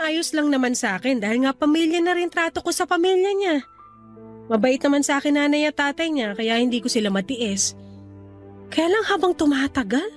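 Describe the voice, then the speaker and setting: A woman's voice: sits high, close to an everyday voice. A woman playing a weary, resentful young wife in a Tagalog radio drama, venting plaintively and hesitantly to her cousin. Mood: resigned.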